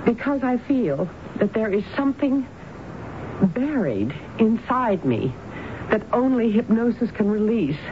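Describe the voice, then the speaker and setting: An actress playing a troubled, formal married woman under hypnosis in a radio drama, speaking in a halting, grave manner. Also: on the high side, very animated. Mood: troubled, pleading. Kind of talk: 1970s radio drama acting. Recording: noisy, muffled phone-like old radio broadcast.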